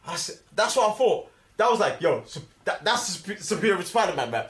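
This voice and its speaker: A young man with a London accent in casual, slangy film chat, excitable and given to repeating his phrases. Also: high voice, fairly quick. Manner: excitable, repetitive. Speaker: movie review podcast co-host. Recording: echoey room with faint background noise.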